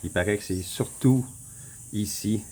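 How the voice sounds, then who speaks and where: Québécois man, very low voice, unhurried, rambling screen walkthrough, faint hiss behind. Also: a little mellow. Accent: Québécois French. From Quebec. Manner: rambling, repeats himself, clears throat often. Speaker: vlogger. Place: home in the Philippines.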